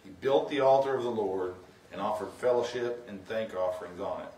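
A man's voice: lowish voice, fairly quick, darkish tone, slight background noise. Middle-aged man preaching from far off, roomy sound. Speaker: guest preacher. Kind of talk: sermon with scripture reading. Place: church sanctuary, indoors. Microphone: far camera. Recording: roomy, distant from the microphone.

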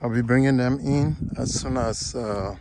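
A man talks slowly and haltingly outdoors on a walled farm plot, filming with a handheld phone. His pitch sits in the middle, and his speech is full of "uh"s. A faint hiss of outdoor noise runs underneath. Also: a little mellow. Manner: halting, many fillers, pleading for subscribers. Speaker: vlogger giving a farm tour. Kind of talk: casual walkthrough narration.

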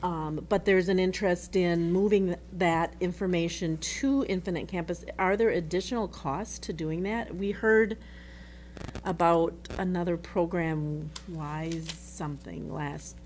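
A middle-aged woman at a school board meeting, asking questions in a halting, restarting way into a desk microphone. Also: lowish voice, steady tone, a little mellow, slight background noise. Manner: halting, restarts and trails off between thoughts.

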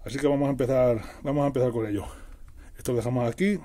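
A middle-aged man narrates a practical tutorial in a casual, explanatory way. His voice sits at an average pitch and is very dark and mellow. He often restates a phrase as he works through the steps. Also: rapid-fire. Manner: explanatory, repeats and rephrases while working. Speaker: DIY tutorial host.

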